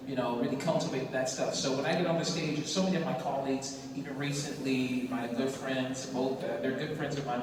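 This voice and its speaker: A man's voice: medium pitch, background noise. Man far off in an echoing hall. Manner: thoughtful, with frequent fillers. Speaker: opera tenor. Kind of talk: onstage discussion. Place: large opera house stage.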